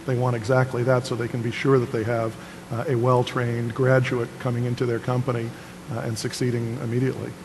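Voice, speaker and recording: A man on a panel talks quickly in a flat, businesslike tone, barely varying his pitch. He explains a strategic plan. His voice echoes in a large hall with faint noise. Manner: brisk, businesslike. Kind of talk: panel answer.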